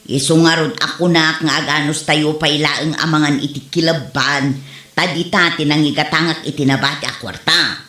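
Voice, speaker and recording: A woman's voice: low-pitched, fairly even intonation, moderate pace, sharp tone, roomy sound. A woman acting a nagging, complaining wife who is short of money in an Ilocano comedy drama. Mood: scolding.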